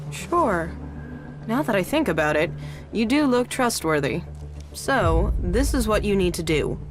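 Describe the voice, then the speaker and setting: An actress playing a wary, tough, streetwise female arms dealer in a video game, with a sardonic, bargaining manner. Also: on the low side. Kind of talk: video game dialogue acting. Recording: music under the voice.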